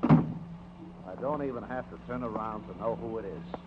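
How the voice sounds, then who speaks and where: An actor playing a cornered, sardonic murderer who works as a projectionist, in an old radio crime drama. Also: male voice, fairly low pitch, measured pace, close to an everyday voice. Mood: wary, taunting. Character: sneering, cornered male murderer, a movie projectionist. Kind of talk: 1940s-50s radio crime drama acting. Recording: muffled, noisy old radio recording.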